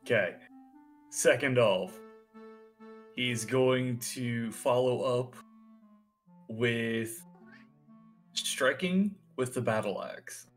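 A man's voice: medium pitch, extremely slow, long pauses, soft background music. A man chatting casually as a player in a tabletop roleplaying game, joking and swearing freely. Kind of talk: casual chat while playing.

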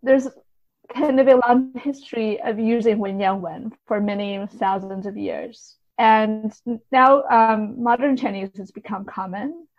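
A woman's voice: a little high, measured pace. A woman guest, a literary translator, explaining things in an interview in a hedging, conversational way. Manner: explanatory, hedging with many softeners. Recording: dull, lo-fi audio.

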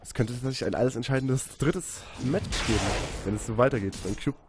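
A man from Saxony doing live commentary on a video game match, chatty and getting excited at big plays. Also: mid-range voice, a little fast, noisy background. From Saxony, Germany. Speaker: esports commentator.

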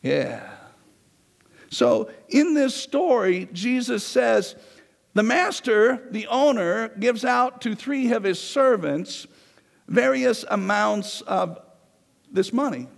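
Hoarse high-voiced pastor in a reverberant church. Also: male voice, expressive, slow pace. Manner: conversational preaching, pacing the stage. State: losing his voice. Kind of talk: sermon. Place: large church sanctuary, indoors. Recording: long reverb of a church hall.

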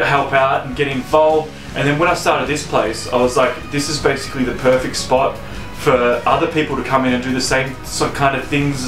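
Man in his thirties, roomy echo. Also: medium pitch. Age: 30s. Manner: relaxed, rambling with fillers and restarts. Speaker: venue founder and sound engineer. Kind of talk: casual interview. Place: venue lounge, indoors. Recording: roomy echo, faint music behind.

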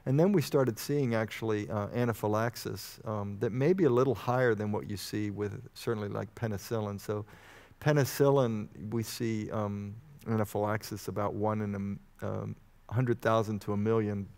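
A man in his fifties from Texas answering as a medical expert in an informal interview, speaking in a measured, hesitant, explanatory way. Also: on the low side, fairly even intonation, vocal fry, a little mellow. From Houston, Texas, United States. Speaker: medical expert guest, vaccine researcher. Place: on stage in a church auditorium. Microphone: headset mic.

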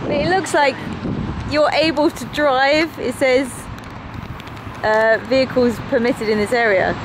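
A woman's voice: high-pitched, unhurried, noisy background. Chatty woman outdoors. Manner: chatty, excited, thinking aloud. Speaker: travel vlogger. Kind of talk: casual travel vlog chat. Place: outdoors on a windy beach and harbor.

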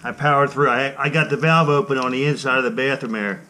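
A male plumber talking casually on the job in a plain-spoken, informal way. His voice sits at a middling pitch. There is some noise around him as he works indoors. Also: steady tone, fast, bright, forceful tone. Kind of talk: casual job-site chat. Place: indoors in a house under repair. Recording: clear background noise on site.

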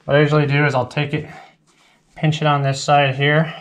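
A man's voice: fairly high pitch, completely flat delivery, brisk. A man hosting a video, casually explaining each step of a build while he works with a body-worn camera. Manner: plain step-by-step explaining while working with tools. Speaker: channel host. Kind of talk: casual how-to narration. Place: driveway outdoors, then house kitchen floor. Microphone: body-worn action camera.

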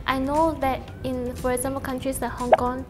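A woman's voice: high-pitched, little pitch movement, normal speed, over music. A woman from Singapore whose first language is Chinese, chatting lightly as a guest on a panel. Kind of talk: casual panel discussion.

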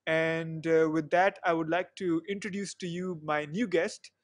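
A man's voice: on the high side, steady tone, unhurried. A young man hosting a podcast over a video call, chatty and full of "you know" fillers, on a headset mic. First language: not English. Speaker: podcast host. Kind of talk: casual interview chat. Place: small room at home on a video call. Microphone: headset microphone.

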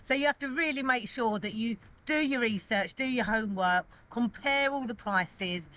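A woman's voice: a little high, moderate pace, sharp tone. A woman in her forties talking casually to camera outdoors as a renovation vlogger. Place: outdoors in a store car park.

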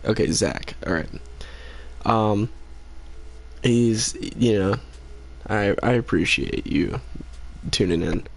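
A sleepy young man talks to his stream chat in a low, gravelly voice. He speaks slowly and rambles between fillers, clearly tired late at night. The sound is a casual home desk mic. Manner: rambling, laid-back, many fillers, reads chat aloud. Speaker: game streamer. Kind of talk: casual live-stream chat while gaming.

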